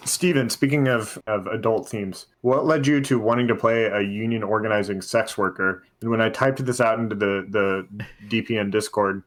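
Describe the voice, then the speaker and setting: Low-voiced man in casual podcast talk. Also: a bit bright. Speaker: podcast host; teacher.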